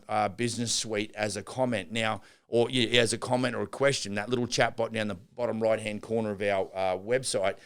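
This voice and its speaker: Gravelly, smooth-voiced rambling podcast man. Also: medium pitch. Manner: rambling, many restarts and fillers. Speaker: podcast host and builder. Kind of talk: unscripted business podcast monologue.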